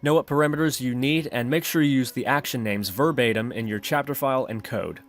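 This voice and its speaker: A man narrating an instructional screencast as the host of a software tutorial. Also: medium pitch, brisk.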